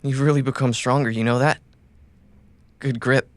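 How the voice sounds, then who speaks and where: An actor playing a wounded, loyal cyberpunk runner in an audio drama, tenderly and haltingly reassuring a companion. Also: male voice, medium pitch, fairly even intonation, dry recording, close to an everyday voice. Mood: strained, tender. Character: wounded, loyal male caravan runner gently soothing his companion.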